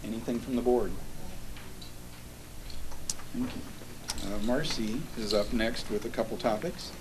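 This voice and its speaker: A man's voice: medium pitch, measured pace, noisy background. Businesslike man heard from a distant mic. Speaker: housing services director. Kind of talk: public meeting presentation.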